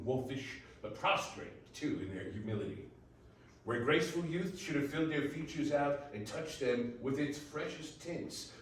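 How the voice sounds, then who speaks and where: A man narrating a story for readers theater, dramatically, with deliberate pauses between phrases. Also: mid-range voice. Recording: very echoey room, distant mic, faint noise.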